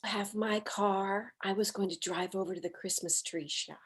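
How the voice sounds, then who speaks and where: Actress playing meddlesome mother, mid-pitched and slightly husky, secretive, gently encouraging, table-read drama. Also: fairly even intonation, moderate pace, natural voice. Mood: conspiratorial. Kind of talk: live table read of a screenplay.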